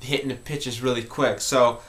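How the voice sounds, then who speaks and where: A man's voice: mid-range voice, steady tone, moderate pace, fairly bright tone. A man talking informally as he hosts an instructional music tutorial.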